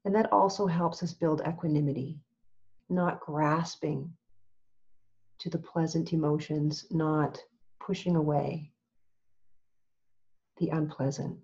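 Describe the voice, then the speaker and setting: Female meditation guide, lowish soft-edged voice, very unhurried and calm, slightly dull lo-fi recording. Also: gravelly. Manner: calm, gentle, measured with long pauses. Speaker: meditation teacher.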